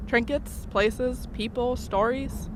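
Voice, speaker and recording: A woman's voice: fairly high pitch, fairly even intonation, takes it slowly, noisy background. A woman playing a stern, insistent young goth occultist in an audio drama, earnest and ominous.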